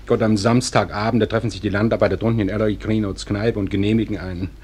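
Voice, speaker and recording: An actor playing a dry, easygoing small-town newspaper publisher with a husky voice, speaking with small hesitations in a radio play. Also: male voice, on the low side, fairly even intonation, fast talker, a little mellow. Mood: wry, easygoing. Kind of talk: German radio play acting.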